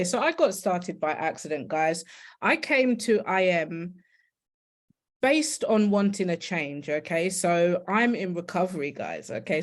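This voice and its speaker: A woman from the UK with a British accent speaks as a guest educator online. Her voice sits a little low, and she tells her story in a chatty, informal way, often turning to her listeners. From United Kingdom.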